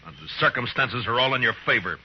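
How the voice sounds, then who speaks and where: An American actor playing a stern, weary Old West marshal in a radio western, speaking tersely and with grave authority. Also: male voice, mid-range voice, a little fast, natural voice. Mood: firm, accusing. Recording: muffled, noisy old radio recording.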